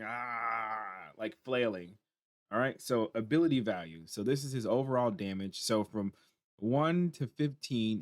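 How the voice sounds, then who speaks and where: A tired man with a hoarse voice, casually talking through game patch notes at his computer and reacting as he reads. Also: medium pitch, no room sound. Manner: chatty, reacts aloud while reading on screen. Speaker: gaming channel host.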